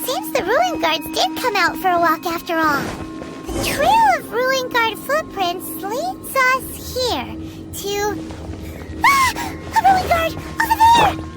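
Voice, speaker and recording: A woman voicing a tiny, perky, cheeky childlike companion in a video game, chirpy and excitable. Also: very high-pitched, slow pace, full character voice. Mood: excited. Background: game music.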